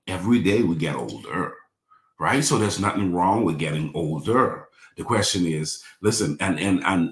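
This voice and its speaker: A deep-voiced man in his forties preaches with an animated, widely swinging pitch. He repeats his lines and draws the congregation into affirmations. His voice carries a roomy echo. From Bahamas. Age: 40s. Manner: repetitive call-and-response preaching, leads congregation in affirmations. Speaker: pastor. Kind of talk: sermon.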